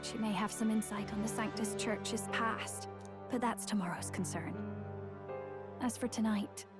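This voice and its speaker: A woman's voice: mid-range voice, steady tone, unhurried, loud music behind the voice, close to an everyday voice. A woman playing a composed, kindly young noblewoman in a video game, speaking politely and with measure. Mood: calm, considerate.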